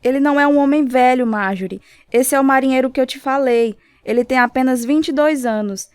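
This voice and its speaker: A woman's voice: sits high, brisk, a little mellow, smooth, no room sound, close to an everyday voice. A woman playing a firm, caring young church friend, devout and direct, in a radio drama. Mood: earnest.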